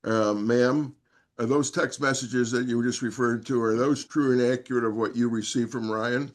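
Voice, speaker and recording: A man working as a defense attorney, questioning and arguing in court with halting, repetitive, wordy phrasing. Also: medium pitch, steady tone. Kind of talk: courtroom cross-questioning and argument.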